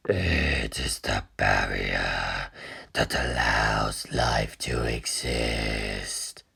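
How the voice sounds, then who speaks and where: A narrator voicing a vast, ancient godlike being that intones solemnly and ominously in a gravelly, husky whisper, for a story. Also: extremely low pitch, sharp tone, pitched below the performer's own voice, heavily acted. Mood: solemn menace. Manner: extremely slow, solemn, breathy intoning.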